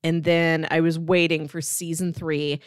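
A young adult woman co-hosting a podcast in relaxed, conversational chat. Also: sits low, unhurried, clear, resonant tone, no echo, clean studio sound. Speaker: podcast co-host. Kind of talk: casual chat.

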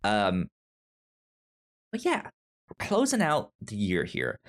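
A man hosting a casual podcast, rambling with stammered, halting restarts. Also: on the low side, wildly swooping pitch. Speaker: podcast host.

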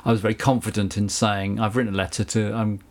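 A middle-aged man with a Southern English accent, a recording engineer telling anecdotes in a relaxed interview, chatty with frequent asides. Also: fairly low pitch, quick pace, slight background noise. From England. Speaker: guest, recording engineer and producer.

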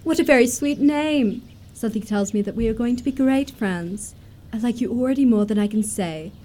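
Woman doing grand young society lady for a radio play, high voice, gushy polite, totally self assured. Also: normal speed, dark tone, close to an everyday voice. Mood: gracious, effusive. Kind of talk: period comedy radio play acting.

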